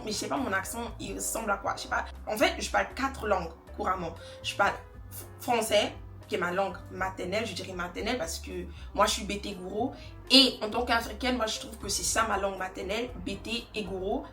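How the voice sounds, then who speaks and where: Young female vlogger from Côte d'Ivoire, chatty and rambling; bright, fairly high voice. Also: roomy sound. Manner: chatty, rambling, laughs often. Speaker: beauty vlogger. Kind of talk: casual get-ready-with-me chat.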